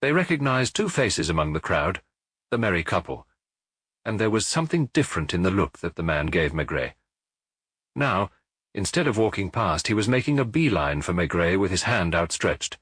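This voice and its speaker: Male audiobook narrator, detective fiction, low and slightly husky, very varied intonation, dry close sound. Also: big pitch swings, normal speed, fairly bright tone, dry recording. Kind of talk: scripted narration of a detective novel.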